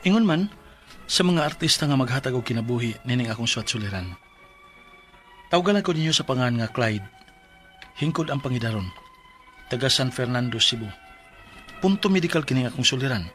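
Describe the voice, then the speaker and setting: An earnest young man in a Filipino radio drama, played by an actor, with a mid-pitched voice and a Cebuano accent. Faint music plays behind him as he tells his story. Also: a bit bright, natural voice. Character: young man, game-loving son, narrating his letter.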